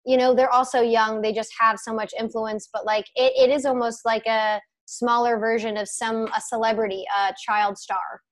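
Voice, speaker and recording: A young woman in her mid-twenties chats on a podcast through earbuds on a video call, her voice sitting a little high. She tells stories in an animated way, swearing freely as she goes. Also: moderate pace, few pauses. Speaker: podcast co-host. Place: home room with bookshelf, indoors.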